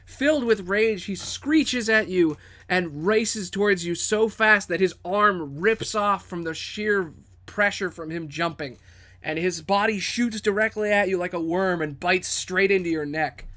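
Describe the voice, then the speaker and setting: A man with a slightly high voice runs a tabletop game. He tells the story dramatically and in vivid description, as a game master does. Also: measured pace, pushed and bright. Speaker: game master and podcast host.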